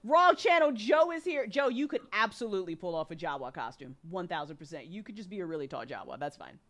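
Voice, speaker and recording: A woman hosting a livestream chat in an animated, enthusiastic and slangy way, swearing freely. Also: on the low side, fast talker. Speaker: host of a livestream talk.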